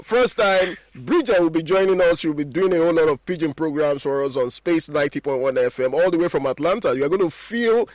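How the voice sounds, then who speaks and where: A man's voice: on the high side, fairly quick, few pauses, a little mellow, no room sound. A man, hosting a radio interview. He says "mm-hmm" often and fills his talk with "uh". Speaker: radio host.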